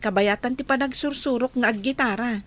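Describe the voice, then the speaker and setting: A woman playing a gentle young woman in love in a slow radio drama. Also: a little high, close to an everyday voice. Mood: warm, friendly.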